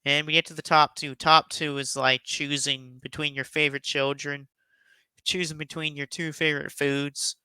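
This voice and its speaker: A man's voice: on the high side, level tone, moderate pace, bright, forceful tone, clear, resonant tone, no room sound. A man hosting a casual, enthusiastic countdown commentary, speaking in an emphatic, effusive and rambling way. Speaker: YouTube host giving a top ten countdown.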